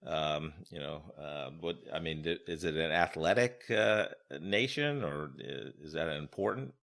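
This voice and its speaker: An American man with a very low-pitched voice hosts a casual interview. His questions wander a little, phrased haltingly and conversationally. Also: gravelly, fairly bright tone. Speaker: podcast host, interviewer.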